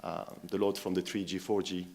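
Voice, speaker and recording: Low-voiced male panelist explaining. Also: little pitch movement, gravelly, darkish tone. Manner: listing items, measured explanation. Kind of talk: panel discussion answer.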